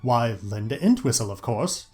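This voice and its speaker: A man's voice: mid-range voice, sing-song, moderate pace, a little mellow, lightly acted. A man voicing an earnest, formal vampire-hunting hero with a foreign accent in an audio story with music behind. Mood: solemn. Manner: solemn, stilted, archaic courtesy. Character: earnest tall heroic vampire hunter, formal and desperate.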